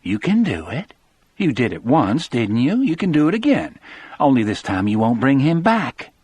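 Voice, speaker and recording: A man's voice: medium pitch, very animated, normal speed, a little mellow, breathy edge, no room sound, pitched above the performer's own voice. A man voicing a fierce, determined and smart wife in an audiobook, emphatic and indignant. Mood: determined, urging.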